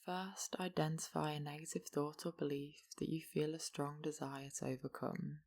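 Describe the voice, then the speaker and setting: A meditation guide gently and slowly leading a yoga relaxation practice, leaving long pauses between instructions. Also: mid-range voice, little pitch movement, gravelly.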